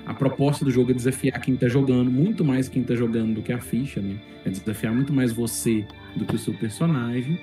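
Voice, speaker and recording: A man's voice: medium pitch, steady tone, fairly quick, few pauses, dark tone. A man with a Brazilian accent explains things casually as a guest on a podcast. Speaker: podcast guest, game master. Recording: roomy echo with music behind. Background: background music.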